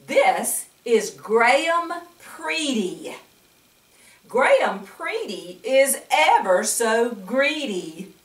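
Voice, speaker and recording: An older woman reads a picture book aloud to children in a grandmotherly way, pausing for chatty asides and coaxing questions. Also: medium pitch. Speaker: storyteller reading to children. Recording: echoey room, distant mic.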